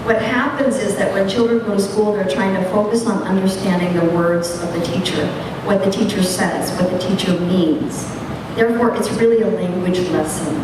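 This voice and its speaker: A woman's voice: fairly even intonation, moderate pace. A woman teacher educator giving an earnest conference lecture, speaking emphatically and putting questions straight to the audience. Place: large echoey lecture hall. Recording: echoey hall, distant mic, rumbling noise.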